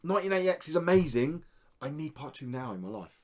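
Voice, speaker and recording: A man's voice: a little high, lively intonation, fast talker. A man co-hosting a podcast, giving an enthusiastic, casual spoken review in conversation. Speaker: podcast co-host reviewing indie games. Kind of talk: casual game review chat.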